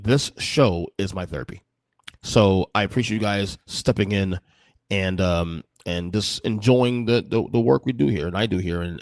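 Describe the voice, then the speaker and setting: A man's voice: lowish voice, darkish tone, no room sound, studio quality. A man in his forties hosting a solo podcast, talking casually with many restarts and fillers. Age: 40s. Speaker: podcast host.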